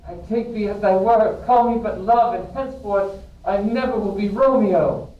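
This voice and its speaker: A man's voice: sits high, moderate pace, dull audio, lightly acted. A man reading the part of a lovestruck, ardent young man in a Shakespearean verse drama. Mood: ardent, bold. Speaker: amateur reader in a school play. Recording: roomy, distant mic, faint noise.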